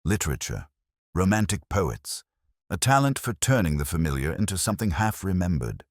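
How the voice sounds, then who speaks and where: A man reading a first-person literary detective mystery in a measured, reflective, melancholy way. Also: sits low, big pitch swings, no echo, studio quality.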